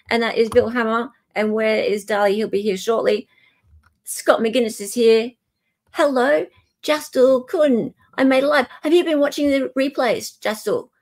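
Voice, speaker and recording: A woman hosting a casual livestream, gushing and repeating words for emphasis. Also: a little high. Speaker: livestream host.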